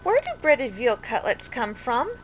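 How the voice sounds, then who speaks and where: A picky, curious little girl from a children's storybook, put on by an older woman, high and swooping. She is earnest and sing-song, drawing out her words. The sound is muffled and lo-fi. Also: sing-song, unhurried. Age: older, grandmother. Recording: muffled lo-fi home recording, music behind.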